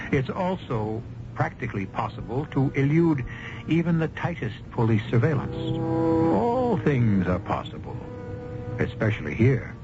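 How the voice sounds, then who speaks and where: A man hosting and narrating a radio mystery in a measured, wry, theatrical showman's manner. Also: medium pitch. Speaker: host and narrator. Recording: dull lo-fi old radio broadcast. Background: clear background music.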